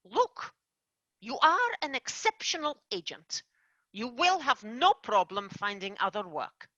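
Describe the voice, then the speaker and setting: A woman playing a formal, measured manager of tooth fairies, in an amateur radio play. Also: no room sound, highs cut off, heavily acted. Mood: formal, brisk. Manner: formal, measured, lively pitch. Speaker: manager firing an agent.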